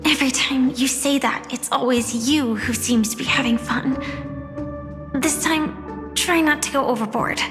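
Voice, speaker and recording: A gentle young heroine in a video game, with a high, girlish pitch. She speaks in a pensive, sincere way, earnest and quietly brave. Long reverb surrounds her voice, with music clearly underneath. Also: measured pace. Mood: gently resigned. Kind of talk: video game story voice acting.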